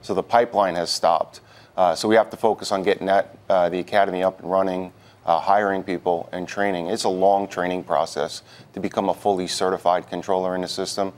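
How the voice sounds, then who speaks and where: A man answering questions as a guest in a live TV news interview, speaking haltingly with repeated words. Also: low voice, level tone. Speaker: union president, interview guest.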